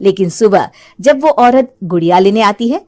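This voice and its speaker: A woman storyteller giving an expressive, scripted narration of a dramatic tale. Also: medium pitch, normal speed, soft background music.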